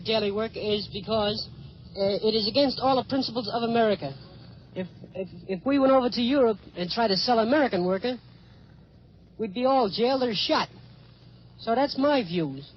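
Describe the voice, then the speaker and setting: A plain-spoken man with a New York accent, a newsstand clerk, talking haltingly with stammered repeats. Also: extremely high pitch. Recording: dull, noisy old field recording.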